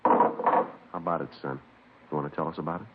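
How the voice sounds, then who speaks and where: A clipped, matter-of-fact police detective with an extremely deep voice. He talks quickly, narrating and questioning in a 1950s radio crime drama. The old recording is dull with a faint hiss. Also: steady tone.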